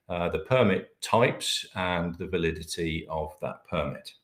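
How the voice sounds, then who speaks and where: A middle-aged British man with a very low, deep voice leads a business webinar. He talks hesitantly, with frequent "uh"s. His voice sounds echoey, as if he is in a bare room. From Britain. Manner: hesitant, many filler sounds. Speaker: webinar presenter, managing director.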